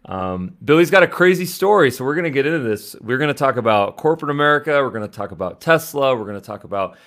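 A man's voice: mid-range voice, animated, fast talker, fairly bright tone. A man hosting a podcast interview, introducing his guest with upbeat energy. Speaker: podcast host.

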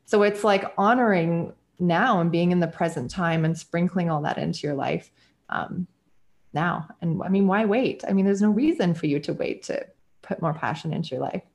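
A woman in her early forties talking casually as a podcast guest, rambling and animated with lots of fillers. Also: lowish voice, moderate pace. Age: 40s. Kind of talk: casual conversation.

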